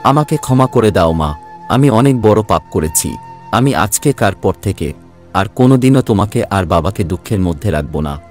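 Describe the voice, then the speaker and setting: A man's voice: lowish voice, expressive, normal speed, darkish tone, soft background music, natural voice. A man playing a self-centred young working son from a village in a cartoon story, speaking emotionally. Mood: remorseful, pleading.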